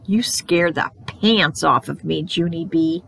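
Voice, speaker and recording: Woman as a playful storybook dad, creaky voice. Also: on the high side, sing-song, moderate pace, a bit bright, voice pushed up, heavily acted. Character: startled but playful, fond father.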